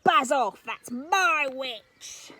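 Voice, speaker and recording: Woman as loud, slow, growling storybook monster. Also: high-pitched, animated, pitched above the performer's own voice, full character voice. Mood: defiant. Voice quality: growling, croaky. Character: horrible four-headed beast, defiant and growling.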